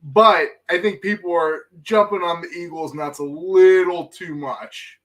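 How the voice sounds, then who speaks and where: A man with a fairly high voice argues against the hype in a skeptical, contrarian way. He keeps restating his doubts. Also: unhurried, a bit bright, recorded from a distance. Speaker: podcast co-host. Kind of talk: casual sports chat.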